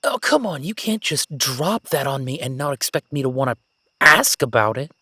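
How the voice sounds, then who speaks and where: An excitable young male academic, acted by a man in an immersive audio roleplay. He gushes and stammers apologetically like a bookish explorer new to the field. His mid-pitched voice is recorded close and dry. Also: moderate pace, husky, no echo, heavily acted. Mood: giddy excitement. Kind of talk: immersive roleplay audio drama, one-sided conversation.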